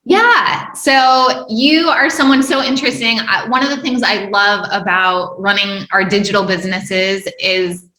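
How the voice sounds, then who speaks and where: A woman's voice: mid-range voice, steady tone, unhurried, hardly any pauses, bright, forceful tone, reverberant. A woman hosting an interview podcast, upbeat and effusive as she introduces her guest and asks questions. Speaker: podcast host.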